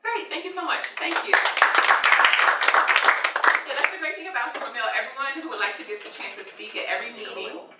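A woman leading a meeting in a classroom, her voice fairly high and very bright. She encourages people to speak up. She is heard from across a roomy space with a faint hiss behind her. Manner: encouraging, inviting comments. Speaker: meeting chair. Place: meeting room. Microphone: far camera. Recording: roomy, distant, light noise.

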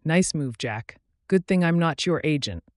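A man's voice: a little high, expressive, a little slow, no echo. Man reading as carefree buddy, jovial, breezy, joking, audiobook dialogue, dry sound.

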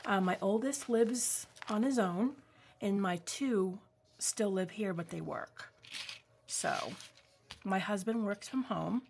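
A woman's voice: medium pitch, creaky, slight background noise. A woman in her forties from New England, chatting casually with many ums while crafting at home under an overhead camera. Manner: rambling, warm asides with many ums. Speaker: craft vlogger. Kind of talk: casual craft-along chat. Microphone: overhead camera, hands only.

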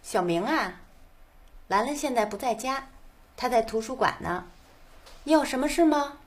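An actress plays an obliging mother taking a phone call. Her woman's voice sits fairly high. The delivery is polite and scripted, as in a language-lesson skit. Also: animated, normal speed, lightly acted. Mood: polite, helpful.

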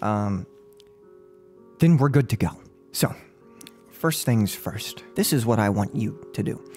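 Man acting a creaky-voiced, unhinged killer. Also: fairly low pitch, lively intonation, a little mellow, faint music behind, heavily acted. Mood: mock-polite menace. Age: 20s. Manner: mock-polite, halting, menacing, breaking into giggles. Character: unhinged, gloating serial killer toying with a captive. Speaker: ASMR role-player. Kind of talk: ASMR role-play monologue. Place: small furnished room at home. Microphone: close microphone on a boom arm.

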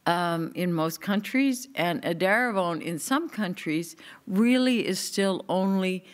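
Relaxed middle-aged woman at a podium. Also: fairly low pitch, slow pace. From Newfoundland, Canada. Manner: relaxed and conversational, with light asides. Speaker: clinical researcher, speaker. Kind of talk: conference talk. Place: conference hall, at a podium. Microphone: podium microphone.

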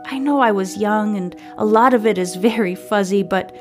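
Woman as earnest, troubled storybook boy, hesitant quiet confiding, over music. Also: mid-range voice, moderate pace, close to an everyday voice. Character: troubled, earnest boy recalling a strange night.

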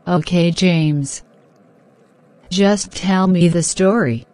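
A woman playing a gentle young woman in a children's cartoon, with measured, plain-spoken scripted lines. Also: on the low side. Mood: grateful, interested. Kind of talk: simple scripted dialogue for children.

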